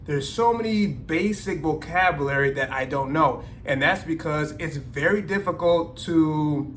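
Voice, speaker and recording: A man's voice: fairly high pitch, a little slow, a bit bright, slight background noise. A man hosting a language-learning vlog, talking candidly and thoughtfully straight to his viewers in a casual monologue. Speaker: language-learning video host.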